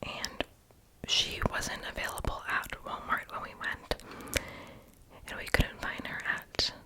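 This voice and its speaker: A young adult whispers throughout in a breathy ASMR voiceover, with no voiced tone at all. The talk is casual and rambling, a little self-deprecating, close on the mic with lip smacks and mouth sounds. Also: slow pace, poor audio. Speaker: ASMR video creator.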